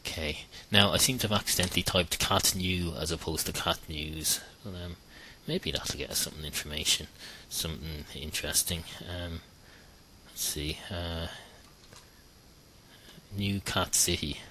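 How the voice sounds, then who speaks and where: A man's voice: low voice, measured pace, bright, forceful tone, a little hiss or hum, low-quality recording. A man hosting a podcast, talking through a rambling comic monologue with a sarcastic tone, many ums and restarts, and the odd swear word. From Ireland. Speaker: podcast host.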